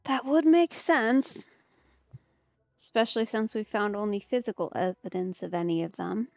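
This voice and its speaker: A woman's voice: medium pitch, normal speed, gravelly, a little mellow, no echo. A woman streamer giving casual game commentary in an understated, wry way. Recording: slightly dull mic, music behind. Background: game music.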